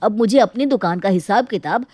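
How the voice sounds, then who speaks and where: Woman playing aggrieved elder brother, an earnest shopkeeper in a cartoon family tale; medium pitch, dry recording. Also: a little fast, darkish tone, dry recording, lightly acted. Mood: aggrieved, pleading. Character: indignant hardworking elder brother, a shopkeeper son. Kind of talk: dramatized Hindi moral story acting.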